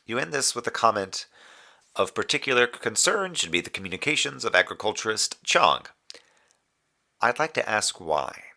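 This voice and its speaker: An actor playing a dutiful, dryly sardonic moon base communications officer, reporting in a formal, measured way in a scripted audio drama. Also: male voice, lowish voice, big pitch swings, gravelly, sharp tone, full character voice. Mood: restrained, pointed.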